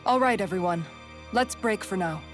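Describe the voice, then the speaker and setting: A caring young woman from a video game voiced by an actress, soothing and encouraging, with a mid-pitched voice. She speaks in a measured way over clear background music. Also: natural voice. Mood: gentle, reassuring. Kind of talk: video game English dub acting. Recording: game music playing behind.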